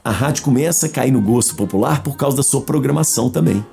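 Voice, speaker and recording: Brazilian man, gravelly mellow voice, casual interview explanation, self-answered questions, faint music. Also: medium pitch, quick pace.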